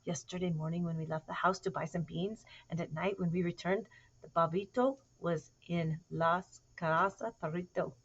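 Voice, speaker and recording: A woman's voice: on the low side, steady tone, moderate pace, husky, lo-fi sound. A woman voicing a laid-back male Chihuahua from a storybook gang, in casual dialogue sprinkled with Spanish.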